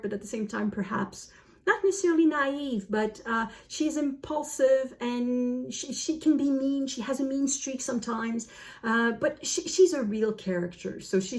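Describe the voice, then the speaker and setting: Woman chatting casually, halting and self-correcting. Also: on the high side, a little mellow. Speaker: book vlogger. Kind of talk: casual book chat to camera.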